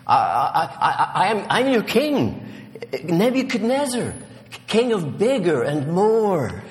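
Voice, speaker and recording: A man's voice: high-pitched, sing-song, slow pace, pitched above the performer's own voice, heavily acted. A man playing a pompous storybook king who stammers with frightened bluster. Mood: flustered bluster. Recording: long hall reverb.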